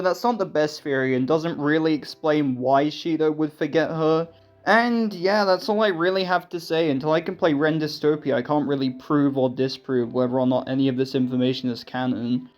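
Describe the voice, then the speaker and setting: Higher-pitched man, sarcastic casual video commentary. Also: brisk, muffled recording, faint music behind. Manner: sarcastic, self-deprecating, swearing. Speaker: video essayist. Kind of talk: casual scripted commentary on anime games.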